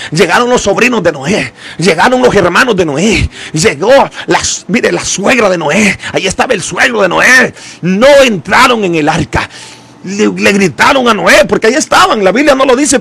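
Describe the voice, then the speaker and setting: High-pitched, gravelly fiery male preacher. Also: expressive, normal speed. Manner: impassioned, repetitive, rising into shouts. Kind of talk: fiery evangelical sermon.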